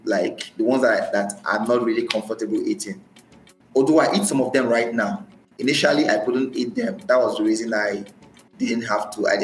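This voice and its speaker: A man from Nigeria with a Nigerian accent, talking as a podcast guest about his experiences and often repeating phrases for emphasis. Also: on the low side. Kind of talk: conversational interview, recounting personal experience. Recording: roomy echo, faint music.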